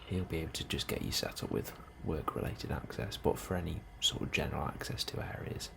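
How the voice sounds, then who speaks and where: A man in a soft, hushed ASMR role-play, speaking calmly and unhurriedly as a helpful colony immigration officer, close to the microphone. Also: a bass voice. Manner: hushed, unhurried, sometimes dropping into whisper. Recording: clearly audible background ambience noise.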